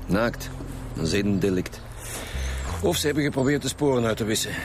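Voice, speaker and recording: A man's voice: medium pitch, lively intonation, moderate pace, noisy background, natural voice. A man playing a dry, brusque homicide squad leader in a radio drama, with a Flemish accent.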